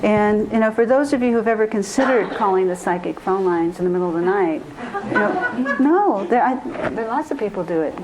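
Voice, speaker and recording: A woman's voice: medium pitch, sing-song, brisk, very noisy. A woman giving an informal spiritual talk as a therapist and teacher, speaking earnestly and repeating phrases for emphasis.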